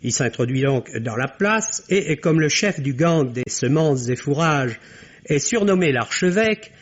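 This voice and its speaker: A man's voice: on the high side, lively intonation, unhurried. A man reading a scripted film review in the formal, wry manner of 1950s French radio. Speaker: film critic. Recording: dull vintage radio recording.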